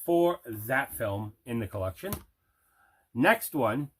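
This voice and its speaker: A man's voice: medium pitch, animated, slow pace, a bit bright, slight background noise. A man hosting a casual unboxing video as a collector, talking in an enthusiastic, conversational way. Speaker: movie collector, video host.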